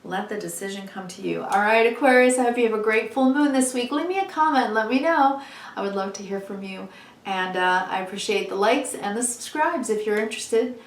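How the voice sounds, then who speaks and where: A woman giving a weekly tarot reading in a chatty, encouraging way, full of "you know"s, her voice a little hoarse from a long day of talking. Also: mid-range voice, a little fast, a little hiss or hum. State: losing her voice, slightly hoarse. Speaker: tarot reader. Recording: echoey room, mic at a distance.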